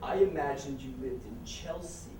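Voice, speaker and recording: An actor playing a shy, neurotic, smitten accountant in a comedic stage play, hesitant and earnest. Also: male voice, medium pitch, moderate pace, lightly acted. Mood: dreamy, eager. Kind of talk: comedic one-act stage play acting. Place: live stage performance in a college room. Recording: echoey, distant, noisy theater recording.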